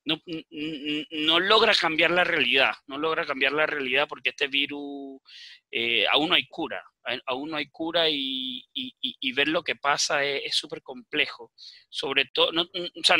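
A man with a Venezuelan accent giving earnest interview answers as a public official, halting at times and repeating phrases for emphasis. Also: on the high side, pushed and bright, dry recording. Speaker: municipal official for older people's services.

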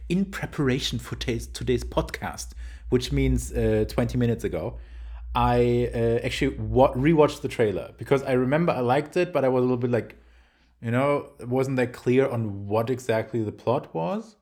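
A man's voice: medium pitch, moderate pace, darkish tone. Rambling man co-hosting a film podcast. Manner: rambling, many "like" fillers, teasing. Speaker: podcast co-host. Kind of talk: casual chat about films.

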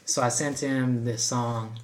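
Light-voiced young man, animated storyteller. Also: mid-range voice, takes it slowly, slight background noise. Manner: animated storyteller, laughs often, quotes himself. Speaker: podcast guest, rapper. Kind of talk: casual chat.

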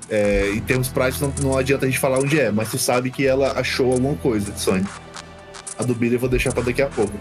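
A man working as game master, casually improvising and explaining what happens in a tabletop session. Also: mid-range voice. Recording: music clearly behind.

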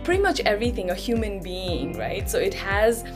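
A woman from Nepal, a professor and yoga teacher, talking thoughtfully as an interview guest and often pausing to search for words. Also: mid-range voice, big pitch swings, music in the background. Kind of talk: casual interview conversation.

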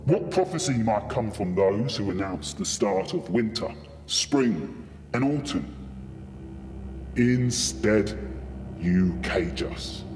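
Ancient scornful bird oracle, slow and solemn, big long reverb, audio drama. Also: male voice, fairly low pitch, animated, a little mellow, slight background noise. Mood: haughty, ominous. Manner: portentous, scornful. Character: ancient, haughty oracle voice of the birds. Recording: long reverb, music behind.

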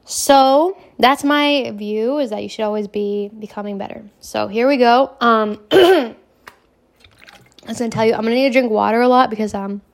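Young woman podcast host with a cold, scratchy hoarse voice, cocky and swearing, chatty. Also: on the high side, normal speed. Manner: brash, self-assured, swears freely. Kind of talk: casual self-help podcast monologue.

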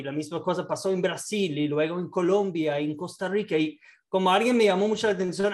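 Fairly high-voiced man. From born in the United States, raised in Israel. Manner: hesitant, many fillers and restarts, mixes in English words. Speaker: guest entrepreneur and investor. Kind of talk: casual interview talk.

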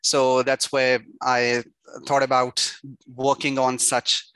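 Bright, flat-toned man, halting answers. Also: medium pitch, clear, resonant tone, dry recording, rough recording. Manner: hesitant, many "uh" fillers. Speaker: startup CEO, guest. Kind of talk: interview answers.

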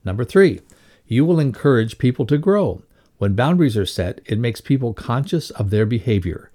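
A man's voice: medium pitch, sing-song, normal speed, dark tone. A man reading a scripted instructional lesson aloud as a narrator. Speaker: reader of a leadership values lesson.

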